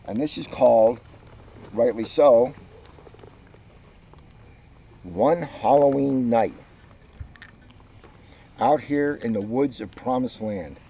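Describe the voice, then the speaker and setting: A man, a police officer, reading a children's book aloud with expressive, drawn-out emphasis and casual asides. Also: medium pitch, slight background noise. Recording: dull, muffled lo-fi sound.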